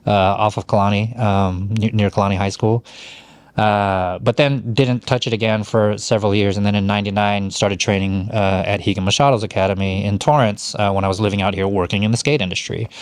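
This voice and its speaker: Middle-aged male podcast host from Hawaii, lowish voice, dry close sound, rambling storyteller. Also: no echo. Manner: rambling, frequent fillers and self-corrections. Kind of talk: casual conversational storytelling.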